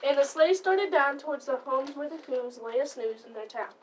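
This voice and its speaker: A woman's voice: high voice, animated, normal speed. A girl of about eleven or twelve reading a story aloud as a narrator in readers theater. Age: child, about 11 to 12. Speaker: student narrator. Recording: distant mic, noisy live stream.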